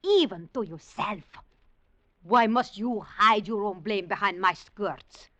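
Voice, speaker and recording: A woman's voice: mid-range voice, slow, pushed and bright, dry recording, muffled recording. An actress playing a fierce, weary, defiant woman of a port underworld in a 1940s radio drama, theatrical and commanding. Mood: scornful. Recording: restored 1940s radio broadcast, audible noise.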